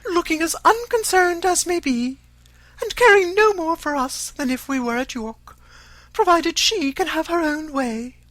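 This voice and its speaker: Shrill, fretful scolding mother. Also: animated, measured pace, a bit bright, dry recording, exaggerated voice. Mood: indignant scolding. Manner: complaining, melodramatic. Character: shrill, fretful middle-aged English mother, self-pitying and scolding. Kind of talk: audiobook character voice.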